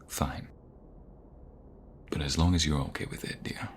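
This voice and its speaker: Protective young mobster, male actor: rock-bottom pitch, crackling fry, menacing and deliberate, audio roleplay. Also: heavily acted. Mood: grudging, stern. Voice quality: gravelly. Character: protective, hot-tempered male mobster, tender with his sweetheart.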